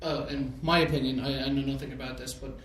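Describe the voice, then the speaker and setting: A man's voice: medium pitch, level tone, quick pace, fairly bright tone. Man, casual podcast chat, rambling with fillers, faint background noise. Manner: rambling, full of fillers and restarts. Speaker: podcast co-host. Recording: echoey room, mic at a distance.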